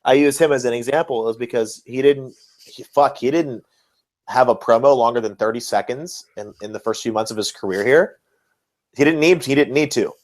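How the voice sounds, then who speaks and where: A man's voice: medium pitch, normal speed. A man co-hosting a casual wrestling talk podcast, blunt and critical, often repeating phrases to hammer a point home. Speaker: podcast co-host. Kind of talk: casual wrestling commentary chat.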